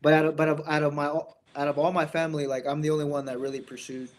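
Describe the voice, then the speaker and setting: Higher-pitched young American man, chatting casually. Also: flat delivery, fast. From born in Mexico, raised in Indiana, United States. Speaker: boxer, interview guest. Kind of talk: casual interview chat.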